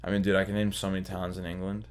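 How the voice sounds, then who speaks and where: A young man with a very deep, low voice talks as a podcast guest. His delivery is loose and easygoing, peppered with slang and casual swearing, as he shoots the breeze with friends. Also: flat delivery, racing, smooth. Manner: laid-back, swears often, lots of "like" and "bro". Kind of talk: casual chat.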